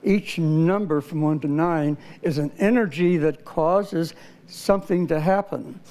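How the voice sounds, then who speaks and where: A man's voice: a little high, a little slow, a little mellow. Earnest man explaining numerology. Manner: earnest, lists ideas steadily with fillers. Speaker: numerologist guest. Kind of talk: explanatory interview talk.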